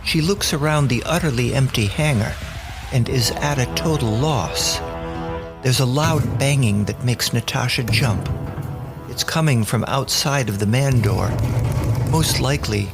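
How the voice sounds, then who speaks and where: A man's voice: medium pitch, a little slow, gravelly. A man narrating a scripted audio drama as the storyteller. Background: music bed.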